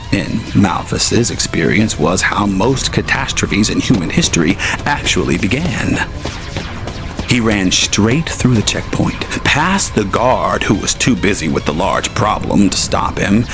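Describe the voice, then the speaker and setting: A man narrating a science fiction audio drama in a slow, measured storytelling manner. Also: low-pitched.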